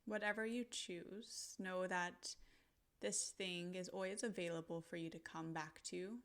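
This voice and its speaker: A woman narrating a guided visualization in a gentle, soothing manner, pausing deliberately between short phrases. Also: fairly low pitch, steady tone.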